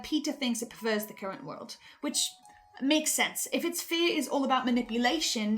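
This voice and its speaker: Female host, higher register, earnest theorist with deliberate pauses, faint music underneath. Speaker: host presenting theories on a horror podcast.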